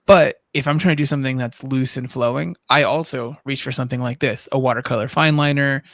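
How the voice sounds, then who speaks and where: A man's voice: mid-range voice, a little fast, a bit bright, no echo, studio quality. A man co-hosting an art livestream, chatting casually and conversationally as a fellow artist.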